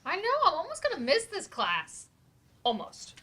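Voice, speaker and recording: A woman's voice: extremely high pitch, wildly swooping pitch, moderate pace, sharp tone, full character voice. A woman playing a slangy, sassy adult student in a staged play reading with room noise.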